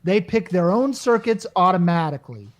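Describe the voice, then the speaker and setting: A woman's voice: on the low side, lively intonation, moderate pace, lightly acted. A woman playing an earnest, flustered male company technician in a radio comedy, hesitant and defensive. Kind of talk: old-time radio comedy acting.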